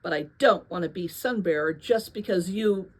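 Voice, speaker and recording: A woman's voice: fairly low pitch, natural voice. Storybook role: composed, serious teen athlete boy, blunt and unruffled, read by a woman. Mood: measured, firm. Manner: slow, even, unruffled delivery. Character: calm, serious, blunt athletic teenage boy, exasperating coach.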